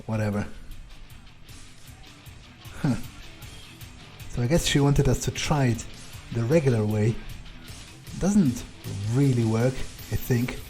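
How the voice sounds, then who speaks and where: A man's voice: medium pitch, takes it slowly, pauses often, mellow, soft background music. A man role-playing a laid-back, wry male vampire in a game playthrough, thinking aloud in a casual, hesitant, sweary way. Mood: nonchalant. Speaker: gamer role-playing his character. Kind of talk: in-character game playthrough commentary.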